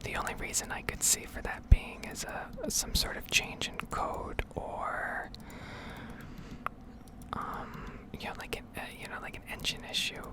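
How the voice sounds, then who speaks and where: A woman whispering in a heavy, breathy voice close to the microphone, rambling casually and hesitantly as in an ASMR gameplay video. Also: a little slow.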